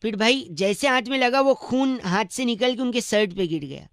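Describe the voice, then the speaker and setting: A man acts out a cheeky radio prankster. The voice is high and bright, pestering and argumentative, recorded close and dry. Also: moderate pace, clear, resonant tone, no echo. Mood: insistent. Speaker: prank caller on a radio show. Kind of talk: comic prank phone call.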